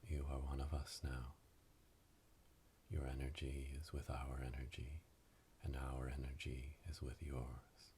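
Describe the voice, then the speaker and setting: A man playing a solemn witch leading an initiation in a hushed, breathy ASMR whisper, ceremonial and monotone, close to the mic. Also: a bass voice, measured pace, slight background noise. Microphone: close binaural microphone.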